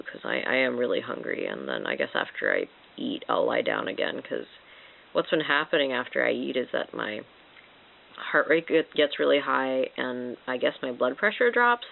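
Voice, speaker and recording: A woman's voice: very animated, normal speed, vocal fry. A sick woman with a congested, sore-throated voice, chatting casually at home to an old handheld camcorder. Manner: rambling, self-mocking, talks to cats in high baby voice. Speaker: vlogger. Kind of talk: casual vlog chat. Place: home, indoors. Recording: tinny lo-fi camcorder audio.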